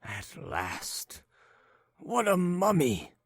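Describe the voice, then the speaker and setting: A man voicing an agitated, impatient young man who speaks in a low, hissing whisper. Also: wildly swooping pitch, extremely slow, no room sound, clean studio sound, heavily acted.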